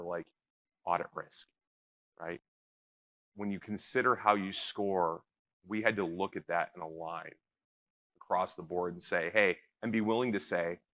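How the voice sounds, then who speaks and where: A man on a conference panel answering a question in an earnest way, talking in quick bursts and checking in with "right?". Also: sits low, pushed and bright. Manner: earnest, fast bursts, repeats points with "right?". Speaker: panelist from a risk and audit team. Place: conference stage.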